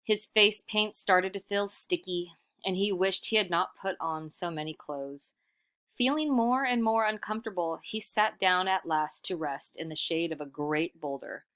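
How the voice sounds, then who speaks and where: A woman reading a children's picture book aloud expressively, pausing for casual comments and questions. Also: lowish voice, fairly bright tone, smooth. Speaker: storybook reader.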